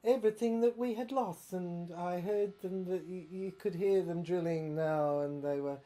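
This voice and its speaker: A woman playing an obsessive, haunted inventor shut away by soldiers in an audio drama monologue, speaking in a halting, rambling, theatrical way with repeated words. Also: on the low side, dark tone, soft background music, natural voice. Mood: agitated.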